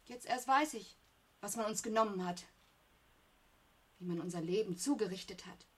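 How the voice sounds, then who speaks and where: A woman's voice: mid-range voice, normal speed, fairly bright tone, husky. An actress playing a proud, aggrieved young working woman, emphatic and reproachful, in a radio play. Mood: indignant. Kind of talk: German radio play acting.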